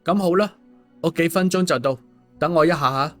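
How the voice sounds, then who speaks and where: A man's voice: on the high side, brisk, a bit bright, soft background music, voice pushed up, close to an everyday voice. A man voicing an easygoing, concerned male story hero in colloquial audiobook dialogue. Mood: reassuring.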